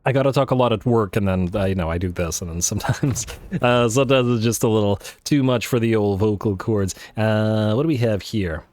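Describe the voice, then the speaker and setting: A man thinking aloud while playing a strategy game, full of fillers and restarts, his voice worn and going hoarse. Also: lowish voice, fairly quick, darkish tone, no echo. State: losing his voice. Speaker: let's play host. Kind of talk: casual strategy game commentary.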